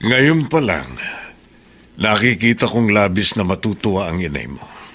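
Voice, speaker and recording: An actor with a Filipino accent playing a kindly middle-aged prison guard, warm and encouraging, in a radio drama. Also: male voice, fairly low pitch, animated, unhurried, breathy edge, full character voice. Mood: warm, approving.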